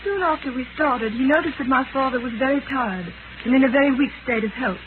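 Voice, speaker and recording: An actress playing a gentle, anxious young lady witness in a 1930s radio drama, earnest and hesitant. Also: sits high, brisk, natural voice. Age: young adult. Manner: earnest, hesitant, polite delivery. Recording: noisy, tinny 1930s radio broadcast.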